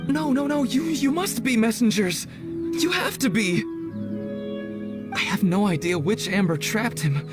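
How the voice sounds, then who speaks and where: A poor young treasure hunter from a fantasy game, superstitious and beseeching. His voice is high and dramatic, with loud music playing behind him. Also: lively intonation, measured pace, long pauses. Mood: desperate pleading. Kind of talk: fantasy video game acting.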